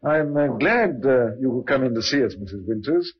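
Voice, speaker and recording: Husky English police inspector. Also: male voice, medium pitch, lively intonation, normal speed. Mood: disarmingly casual. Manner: hesitant, polite, probing. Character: courteous, quietly probing English police inspector. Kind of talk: old-time radio drama acting. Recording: dull old radio recording.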